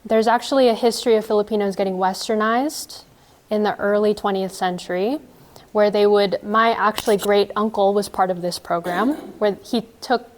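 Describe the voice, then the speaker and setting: A woman's voice: mid-range voice, steady tone, normal speed, few pauses. A young woman presenting a workshop, explaining history and asking the audience questions in a friendly, engaged way. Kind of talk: workshop talk with audience discussion.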